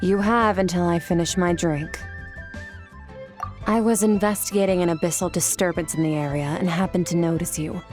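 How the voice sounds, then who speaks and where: An actress playing a cool, detached female swordmaster in a game, speaking in terse, measured lines. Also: lowish voice, steady tone, darkish tone, faint music behind, natural voice. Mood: aloof. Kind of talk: game dialogue.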